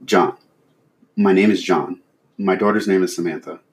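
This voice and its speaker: A man's voice: low-pitched, steady tone, moderate pace, far from the mic, lightly acted. A man voicing a terrified, desperate father in an audio drama, stammering and pleading. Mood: shaken. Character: terrified, desperate father begging police for help.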